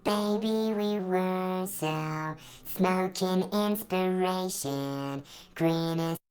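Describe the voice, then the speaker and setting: Slow, low robotic female singing vocal. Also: darkish tone, smooth, faint music behind. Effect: robot voice processing with pitch correction. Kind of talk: sung pop vocal, repeated looped phrase.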